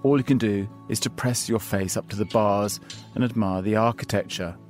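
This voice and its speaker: A man reading his own scripted essay aloud in a measured, wry storytelling manner. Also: lowish voice, fairly even intonation, a little mellow, faint music behind. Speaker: columnist reading his piece. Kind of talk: scripted narration.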